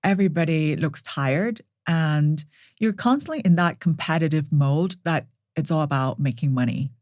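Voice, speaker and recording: A woman's voice: deep voice, normal speed, a little mellow, no echo, clean studio sound. A woman in her forties from Hong Kong, a native Cantonese speaker, chatting earnestly in an interview with frequent "um" and "like". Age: 40s. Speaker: guest, brand founder. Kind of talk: casual interview chat.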